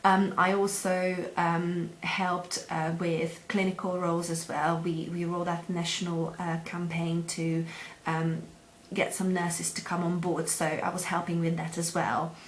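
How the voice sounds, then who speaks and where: A woman's voice: fairly low pitch, little pitch movement, a little slow. Hesitant woman, echoey room. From Hungary. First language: Hungarian. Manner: hesitant, many ums and uhs. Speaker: job seeker. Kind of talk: self-introduction video to employers. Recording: echoey room, faint background hum.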